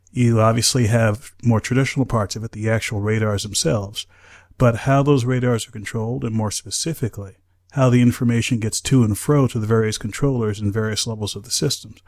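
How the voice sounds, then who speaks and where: An American man speaking as an aviation safety analyst, in a measured, careful, explanatory way. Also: fairly low pitch. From United States. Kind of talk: measured explanatory interview talk.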